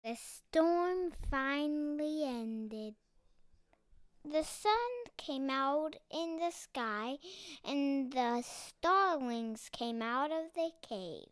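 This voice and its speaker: A child reading a children's picture book aloud with deliberate, dramatic emphasis. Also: high-pitched, expressive, a bit bright, dry recording. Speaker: storybook reader.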